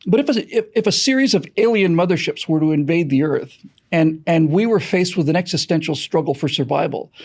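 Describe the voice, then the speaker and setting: A man's voice: a little high, animated, brisk, darkish tone. An American man giving earnest, off-the-cuff commentary as a host, talking emphatically in long, discursive explanations. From United States. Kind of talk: earnest extemporaneous political and historical commentary.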